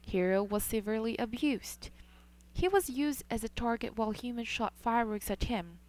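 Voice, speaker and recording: A woman with a somewhat high voice reads a scripted news feature. She keeps a measured, even news delivery throughout. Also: rough recording. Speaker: news reporter.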